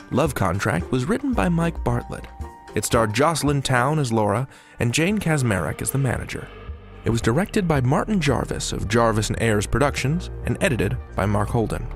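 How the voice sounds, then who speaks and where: A male podcast host delivers a scripted outro with swooping, animated pitch and a creaky edge. His manner is playful, arch and theatrical, over a clear music bed. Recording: music bed under studio voice.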